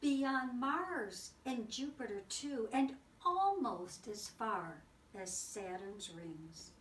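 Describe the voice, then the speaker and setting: Slow-paced woman reading aloud, roomy distant sound. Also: fairly high pitch. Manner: expressive, exclaiming, with dramatic pauses and asides. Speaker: teacher reading a picture book aloud. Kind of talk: read-aloud storytelling for children. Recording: roomy, distant mic with faint noise.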